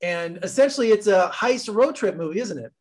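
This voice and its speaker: A man's voice: high voice, lively intonation, brisk, darkish tone. A man from Las Vegas interviewing an actor, telling long rambling stories in a friendly tone. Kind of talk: casual interview.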